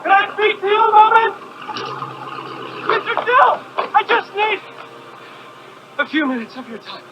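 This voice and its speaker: A man's voice: very high voice, very animated, unhurried, a little hiss or hum, full character voice. A man playing a prim, awkwardly persistent fellow in a suit, calling out urgently in a film clip. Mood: urgent, flustered. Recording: dull film clip under loud music.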